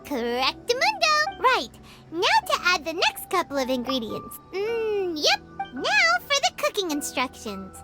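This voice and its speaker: A woman voicing a tiny, chirpy, bossy childlike fairy companion in an anime game, squeaky and excitable. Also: unhurried, heavily acted. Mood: cheerful, helpful. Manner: excitable, speaks of self in third person. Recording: loud game music behind.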